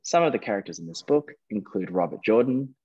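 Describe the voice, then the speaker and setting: A man's voice: fairly low pitch, lively intonation, fairly quick, breathy edge, muffled recording. A man hosting a quiz, reading out questions and giving verdicts on answers. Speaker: quizmaster.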